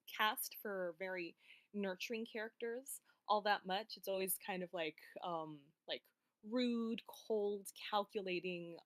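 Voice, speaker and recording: A woman's voice: mid-range voice, fairly bright tone, dry recording. A woman voice actor answering in a casual livestream Q&A, chatty and earnest with many fillers. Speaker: voice actor guest.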